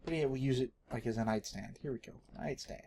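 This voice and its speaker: A mid-pitched man with a Texan accent chats casually through a gameplay video. He rambles and half talks to himself in a jokey, self-deprecating way. The home recording carries a faint layer of background noise. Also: fast, darkish tone. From Texas, United States. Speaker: gaming video host.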